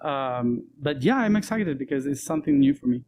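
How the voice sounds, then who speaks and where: Soft-spoken man. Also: medium pitch, animated, slight background noise. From Argentina. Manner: soft-spoken, laughs often, many um and uh pauses. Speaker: guest. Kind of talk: casual interview talk.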